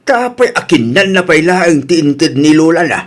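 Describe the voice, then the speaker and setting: A man's voice: a little high, expressive, moderate pace, close to an everyday voice. A man acting an earnest grown family man who reproves and pleads, in an Ilocano radio drama. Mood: angry.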